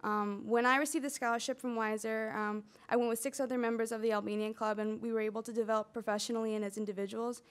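A woman's voice: fairly high pitch, little pitch movement, quick pace, hardly any pauses, clear, resonant tone. A woman in her early twenties from the United States, giving a prepared student speech at a podium with casual asides. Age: early 20s. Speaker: student speaker. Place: lecture hall, at a podium.

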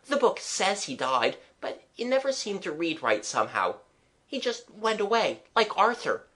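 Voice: mid-range voice, big pitch swings, normal speed, sharp tone, pitched above the performer's own voice. A reader voicing an eager, imaginative young boy in a storybook, chattering earnestly and confidingly.

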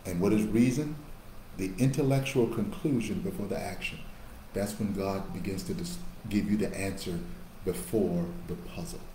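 A man in his fifties preaching a sermon into a webcam in a small office, building to emphatic bursts and dropping to quiet asides. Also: deep voice, moderate pace. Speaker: pastor. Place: small office with a large painting behind. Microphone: webcam on a video call. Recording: echoey room with faint background hum.

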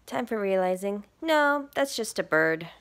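A woman reading a picture book aloud to young children like a teacher, expressively, asking questions and dropping into hushed, breathy asides. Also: mid-range voice, lively intonation, unhurried.

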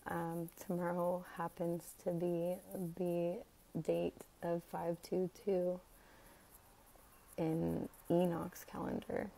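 An American woman in her thirties with a raspy voice, speaking haltingly and casually in a devotional vlog. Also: fairly low pitch, dark tone, rough recording. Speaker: religious content creator. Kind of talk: casual devotional vlog about scripture numbers.

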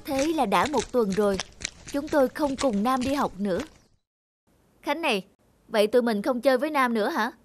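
A woman's voice: a little high, normal speed, pauses often, over music, natural voice. A woman voicing a loyal, reasonable schoolboy who tells a cartoon story about his friends. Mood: thoughtful. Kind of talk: cartoon narration and dialogue.

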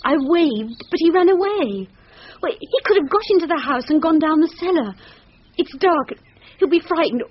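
High-voiced anxious, haunted wife. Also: female voice, expressive, normal speed. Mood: insistent, anxious. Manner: anxious, pleading, insistent. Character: nervous, haunted wife, anxious but insistent. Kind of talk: thriller radio drama acting. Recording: dull lo-fi radio recording, faint noise.

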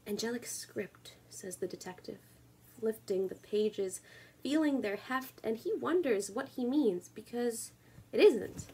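Young woman, slow and slightly husky. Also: a little high, lively intonation, dark tone, low-quality recording. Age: 20s. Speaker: poetry reader and video creator. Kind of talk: spoken word poetry reading with casual introduction. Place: small room at home, indoors.

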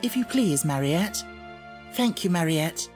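A man voicing a polite, imaginative little girl in an audiobook, gentle and earnest in manner. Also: fairly high pitch, expressive, measured pace, faint music behind. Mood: gentle, grateful. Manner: gentle, appreciative, precise. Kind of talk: audiobook character voice.

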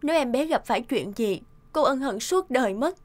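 A woman playing a sharp-tongued but caring young mother who minds a repair shop, in an expressive animated cartoon dub. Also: sits high, fairly quick. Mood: worried, grateful. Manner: expressive, scolding or fussing. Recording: music behind the voice.

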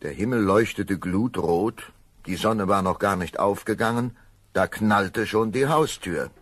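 A man narrating a children's fairy tale as an expressive storyteller, savoring the words with wry relish. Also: on the low side, a little slow. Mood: storytelling.

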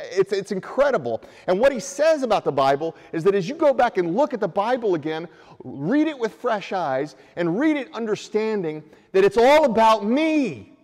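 A middle-aged man preaching a sermon from a church pulpit, reading scripture and rising in pitch with energy as he presses his points. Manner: animated preaching with raised hands, voice climbing when stressing a point. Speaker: pastor. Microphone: far camera. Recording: long church reverb.

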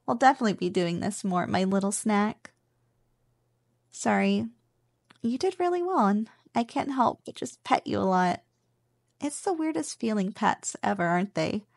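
A woman's voice: medium pitch, big pitch swings, moderate pace, creaky. A woman playing a playful, teasing, possessive slime girl roommate in an ASMR roleplay, coaxing and mock-dismissive. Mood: playful, reassuring, then affectionate, praising. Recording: echoey reverberant sound, loud music behind. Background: loud background music.

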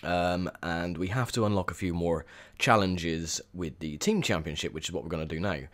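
A young man with a croaky, sore voice, chatting casually through gameplay commentary with lots of ums. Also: sits low, moderate pace, fairly bright tone. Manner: chatty, rambling with many ums. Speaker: gaming video host.

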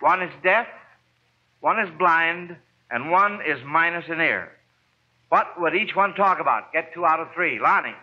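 A man's voice: a little high, lively intonation, measured pace, sharp tone. A man hosting a 1940s radio quiz show in an encouraging manner. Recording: muffled, noisy vintage radio recording.

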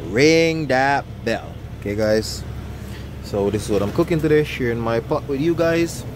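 A man with a lilt hosts a casual cooking vlog from inside his truck. His delivery is upbeat and chatty, sprinkled with laughs. Under his voice, air conditioning and engine hum fill the cab clearly. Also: medium pitch, animated, normal speed. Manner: upbeat, chatty, laughs often, sing-song greetings. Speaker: trucker vlogger. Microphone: handheld phone camera.

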